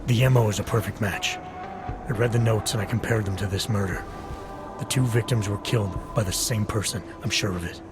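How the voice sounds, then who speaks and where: An actor playing a serious, guarded and quietly stubborn investigator in a video game, speaking in terse, deliberate lines. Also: male voice, fairly low pitch, fairly even intonation, over music, natural voice. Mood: firm, explaining. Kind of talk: video game cutscene acting.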